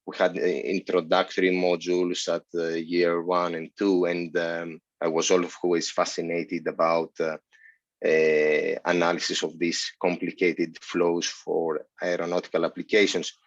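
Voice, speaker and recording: A man from Greece speaks through a headset on a video call, his voice very low and unusually flat in tone. He answers steadily but halts often with fillers. The audio is dull and dry, with no room sound around it. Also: no room sound. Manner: measured, halting with many fillers. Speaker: guest, senior lecturer. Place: office, indoors.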